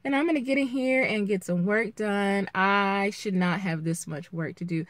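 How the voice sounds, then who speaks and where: A woman vlogger chatting casually and reflectively in an encouraging way, with halting pauses as she thinks. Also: medium pitch, no echo. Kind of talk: casual chat, motivational vlog. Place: inside a parked car, daytime. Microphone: camera or phone at arm's length.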